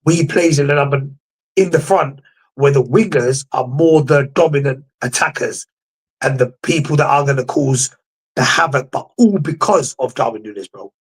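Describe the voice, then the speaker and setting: A man's voice: medium pitch, moderate pace, breathy edge. A young man, a guest pundit in a casual football debate, speaking with confidence and saying "bro" constantly. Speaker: guest pundit, podcast host.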